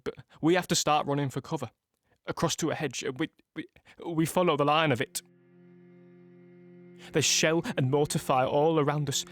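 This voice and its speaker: An actor playing a young wounded British soldier in an audio drama, reliving battle in a halting present-tense monologue with repeated words. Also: male voice, on the high side, fairly bright tone. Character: young British soldier, wounded in Normandy, shaken but dogged.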